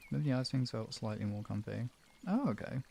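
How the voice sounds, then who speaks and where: A man's voice: mid-range voice, lively intonation, fairly quick, gravelly, a little mellow, a little hiss or hum. A man hosting a live stream, talking informally and off the cuff to viewers, often rambling and trailing off mid-thought. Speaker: live stream host and relaxation guide. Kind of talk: casual, improvised live-stream chat with viewers.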